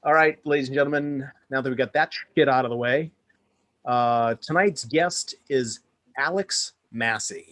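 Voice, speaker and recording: A man's voice: mid-range voice, a bit bright, no room sound. A man co-hosting a podcast, introducing a guest in a loose emcee style. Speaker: podcast co-host. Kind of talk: casual chat, introducing a guest.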